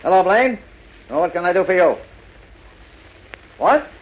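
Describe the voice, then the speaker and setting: An American actor playing a blustering, excitable middle-aged city boss in 1940s radio drama style, with a husky voice. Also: male voice, high-pitched, a little slow. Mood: alarmed. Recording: muffled old-time radio recording.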